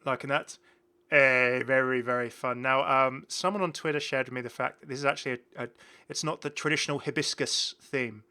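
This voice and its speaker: A man's voice: medium pitch, steady tone, a little fast, pushed and bright, smooth. A man presenting a gaming video in rambling, casual commentary, chatty and enthusiastic as he goes off on tangents.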